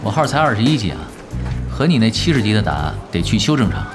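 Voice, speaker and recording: A man's voice: lowish voice, big pitch swings, fast talker, music in the background. An actor playing a nonchalant, teasing young male gamer in an audio drama, casual and sly. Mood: cheeky. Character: laid-back, cheeky young male gamer, sly and unbothered. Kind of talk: Chinese audio drama acting.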